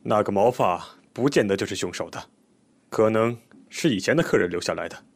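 An actor playing a skeptical police inspector in an audio drama, terse and authoritative, with a husky voice. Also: male voice, on the low side, animated, fairly quick. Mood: probing.

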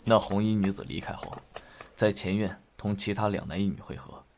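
A man's voice: on the low side, steady tone, moderate pace, a little mellow, slight background noise, lightly acted. A man playing a dutiful, serious attendant reporting respectfully in a Chinese period radio drama.

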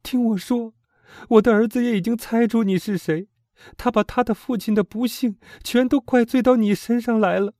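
A man voicing a desperate middle-aged noble mother, pleading in a strained, high falsetto. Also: fairly even intonation, normal speed, mellow, no room sound, studio quality.

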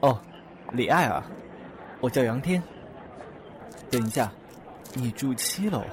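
Casting: actor as mild, kindly male student for radio drama, medium pitch, slightly hesitant, faint background noise. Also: lively intonation, slow pace. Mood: friendly. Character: kind, easygoing young male student, slightly bashful. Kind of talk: audio drama acting.

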